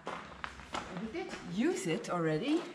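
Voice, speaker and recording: A woman in her thirties giving a casual, halting demonstration to camera, as if searching for words. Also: fairly high pitch, big pitch swings. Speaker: vlogger demonstrating a camper van setup. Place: inside and beside a van in a large metal shed. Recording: clearly noisy, clattery shed recording.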